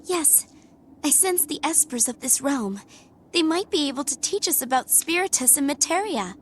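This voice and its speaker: An actress playing a gentle, earnest young woman in a video game, soft and polite in manner. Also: sits high, animated, normal speed, slight background noise. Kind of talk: video game cutscene acting.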